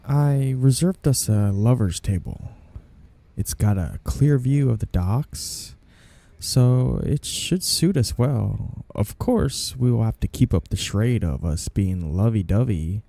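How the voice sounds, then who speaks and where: A man's voice: fairly low pitch, lively intonation, slow pace, vocal fry, mellow, no echo, full character voice. A man playing a flirty, two-faced mafia boss in an ASMR period roleplay, with coy, teasing lines and sugary endearments. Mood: sly, teasing.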